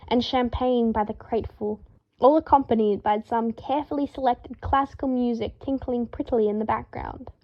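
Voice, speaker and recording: Female audiobook narrator, higher register, expressive fiction read; slightly muffled home recording.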